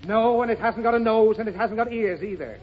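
A man's voice: high-pitched, fairly quick. A man playing an exasperated, easily flustered father in an old radio comedy, explaining things fussily. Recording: muffled, very noisy old radio recording.

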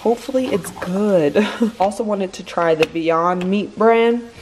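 A woman's voice: medium pitch, measured pace, a little mellow. Young woman vlogger, casual cooking chat to the camera, roomy kitchen with sizzling pans. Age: 20s. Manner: chatty, playful, talks to viewers while cooking. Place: home kitchen, indoors. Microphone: camera on the counter. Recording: echoey kitchen with frying noise.

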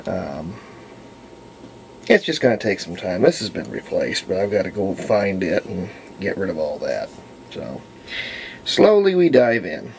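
A man's voice: sits low, wildly swooping pitch, gravelly, darkish tone. Man thinking aloud, noisy recording. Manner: halting, thinking aloud, folksy wording. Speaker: hobbyist radio restorer. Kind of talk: casual hands-on repair commentary. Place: indoor workbench. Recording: noisy handheld camera audio.

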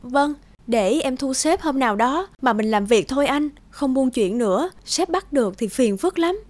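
A woman voicing a sweet, sensible young girlfriend in a cartoon, gentle and polite. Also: high voice, animated, moderate pace, no echo. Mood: gently chiding. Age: young adult. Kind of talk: cartoon voice acting.

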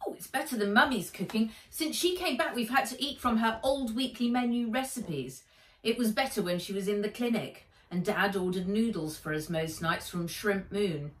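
A woman voicing a nosy, chatty, over-bright boy in a children's storybook. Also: mid-range voice, normal speed, reverberant, recorded from a distance, pitched above the performer's own voice, natural voice, rough recording. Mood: chirpy. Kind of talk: children's book read-aloud.

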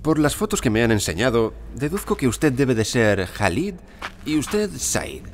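A male actor playing a self-assured, courteous gentleman archaeologist in an audio drama, formal and precise. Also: medium pitch, very animated, normal speed, slight background noise, natural voice. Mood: coolly commanding. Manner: formal, polite, unruffled, precise. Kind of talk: audio drama acting and first-person narration. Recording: music behind the voice.

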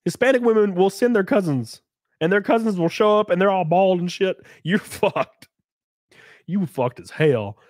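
A man in his thirties hosting a casual live stream from a small home room, close on a boom mic, joking crudely and sounding congested from a cold. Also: animated, normal speed, darkish tone, no room sound. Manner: crude, joking, laughs often. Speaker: livestream host. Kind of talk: casual live-stream chat reading donations. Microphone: studio mic on boom arm, close.

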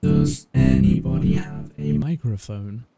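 A man's voice: fairly low pitch, a little slow, vocal fry, loud music behind the voice. A man talking casually and trolling in game voice chat, with a mock-bossy, sarcastic manner. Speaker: gaming video maker.

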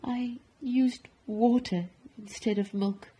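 A woman's voice: fairly high pitch, steady tone, slow pace, dark tone, slightly rough, voice pushed up. A woman voicing a humble, anxious male village baker in a storybook, with a fretful, emotional delivery. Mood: ashamed. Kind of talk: storybook character voice.

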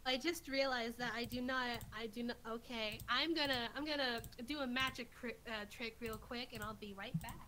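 A woman with a high voice jokes around on a casual group livestream. She talks with playful boasting, over clearly noisy, clicky voice-chat audio. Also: moderate pace, few pauses, pushed and bright. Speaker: quiz contestant on a stream.

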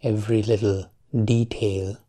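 Male meditation guide, mellow tone, slow pace, calm, measured phrasing, frequent long pauses. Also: lowish voice.

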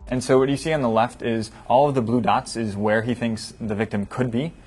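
A man explaining research results as a researcher in an interview, with an even delivery. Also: medium pitch, fairly quick, soft background music. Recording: clear background room noise.

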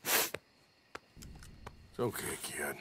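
An actor playing a weary middle-aged American outlaw in a video game, gruff but kindly, with a dry, folksy way of talking. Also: male voice, drawn-out words, sharp tone, slight background noise. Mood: gentle, subdued. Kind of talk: naturalistic video game drama acting. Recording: music behind game dialogue.

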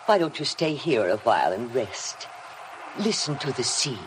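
An actress playing a sinister, obsessive housekeeper in a 1950s radio drama, formal, insinuating and hypnotic in manner. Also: female voice, a bass voice, very animated, moderate pace. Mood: eerie, insinuating. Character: sinister, obsessive housekeeper, coldly devoted to her dead mistress. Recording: vintage 1950 radio broadcast, faint noise.

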